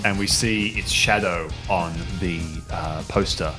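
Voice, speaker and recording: A man narrating improvised scenes as a tabletop game master in a halting, measured way. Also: low voice, fairly even intonation. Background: music, plucked guitar.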